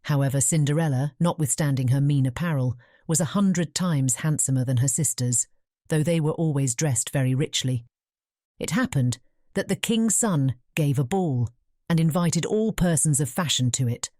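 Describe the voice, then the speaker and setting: British lady narrating a fairy tale audiobook, deep for a woman, really mellow, measured storytelling. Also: no room sound, clean studio sound. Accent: British English.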